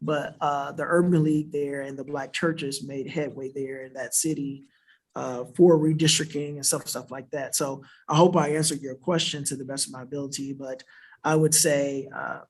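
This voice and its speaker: A man's voice: on the high side, darkish tone. A man from South Florida on a church panel, answering an audience question in an earnest, explanatory way and often adding a questioning "right?" to his points. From South Florida, USA. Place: church worship center.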